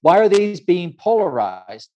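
A man who grew up in Ecuador, speaking as an author and guest in a measured, thoughtful way with many fillers. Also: sits high, sing-song, a little mellow, lo-fi sound. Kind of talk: reflective interview answer.

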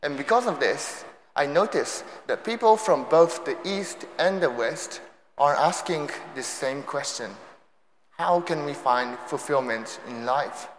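A man's voice: a little high, animated, a little slow, slight background noise. Young Japanese-accented man in echoey hall. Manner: light self-deprecating humor. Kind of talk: sermon talk. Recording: echoey hall with long reverb.

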